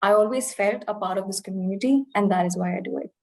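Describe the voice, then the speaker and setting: A young woman from India talks through her experience in a candid, reflective way, her Indian English accent now mixed with British notes. Her voice sits at a middling pitch, and she often pauses and restarts as she searches for the right words. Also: vocal fry, darkish tone. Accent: Indian English, softened by years in the UK. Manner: reflective, earnest, many fillers and restarts. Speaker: interview guest. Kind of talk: candid conversational interview.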